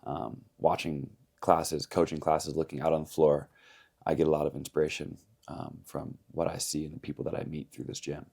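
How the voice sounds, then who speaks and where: A man's voice: a bass voice, little pitch movement, moderate pace, vocal fry, a little mellow. A man coaching at a gym, casually interviewing a member with friendly, teasing questions.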